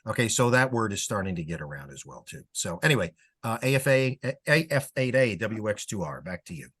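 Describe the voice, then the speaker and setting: A man's voice: on the low side, sing-song, brisk, a bit bright, husky, no echo. A man giving a casual, conversational report in an online club meeting. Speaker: club publicity volunteer. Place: online video call.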